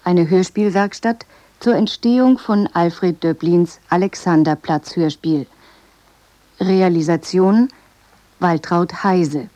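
Low, mellow woman radio presenter, dry sound. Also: no echo. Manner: measured, formal. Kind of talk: scripted programme introduction.

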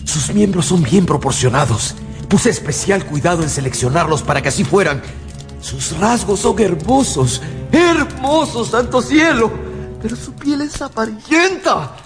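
An actor playing a haunted, feverish scientist in a radio drama, confessing in an overwrought, halting, theatrical way. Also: male voice, high-pitched, animated. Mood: agitated, admiring. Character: haunted, feverish male scientist confessing his monstrous creation. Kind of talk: Spanish-language radio drama acting. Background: dramatic music.

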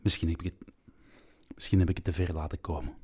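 An actor playing an emotional, sheepish husband in a break-up, with a Flemish accent, in a comedic radio play. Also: male voice, low voice, quick pace, a little mellow, breathy edge. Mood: tender. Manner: stammering, emotional.

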